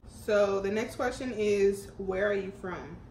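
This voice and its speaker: A woman's voice: mid-range voice, unhurried, gravelly. Chatty young woman in an echoey room. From Houston, Texas, US. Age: 20s. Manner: relaxed, chatty, often laughing. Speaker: YouTube co-host. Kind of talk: casual chat during a mukbang Q&A. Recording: echoey room with faint noise.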